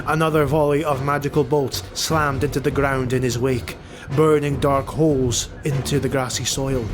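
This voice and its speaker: A man narrating a fantasy audiobook in a dramatic, scripted style. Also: mid-range voice. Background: music.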